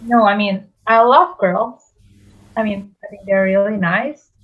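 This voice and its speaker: A woman's voice: mid-range voice, steady tone, measured pace, phone-quality sound, close to an everyday voice. A woman playing an awkward, earnest boy who is new at camp, hesitant, in a musical theatre table read.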